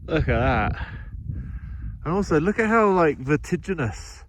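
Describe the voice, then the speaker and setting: A man with a nasal voice, chatting in an awed, thinking-aloud way as he vlogs a solo climb outdoors high on a snowy mountain. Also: sing-song, a little slow, slight background noise. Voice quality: nasal, from ski goggles. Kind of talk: casual vlog commentary. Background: music.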